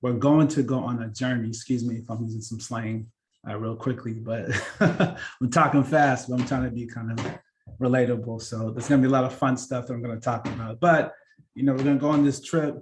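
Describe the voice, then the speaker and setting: A man in his thirties, born in Kenya and raised in Seattle, giving a relaxed, chatty career talk to students with lots of fillers and restarts. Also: mid-range voice, a little fast, slight background noise. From born in Kenya, grew up in Seattle. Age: 30s. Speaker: workshop presenter.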